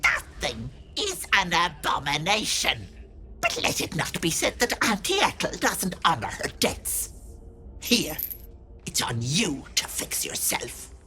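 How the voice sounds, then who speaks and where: A woman's voice: fairly low pitch, wildly swooping pitch, takes it slowly, soft background music, full character voice. A woman playing a crotchety, cunning old hag in a video game, scolding and imperious. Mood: indignant. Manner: sharp, scolding, self-important.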